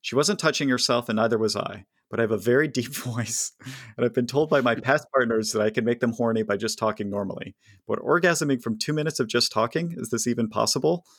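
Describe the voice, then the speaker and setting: A man's voice: medium pitch, quick pace, a bit bright, no room sound. A man co-hosting a podcast, reading listener questions aloud and joking dryly. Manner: dry, wry, reads listener letters aloud. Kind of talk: casual podcast chat.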